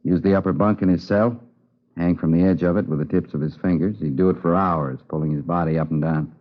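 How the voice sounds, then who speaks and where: An actor playing a brisk, matter-of-fact American police detective in an old radio crime drama. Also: male voice, low voice, fairly even intonation, lightly acted. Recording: muffled vintage radio recording.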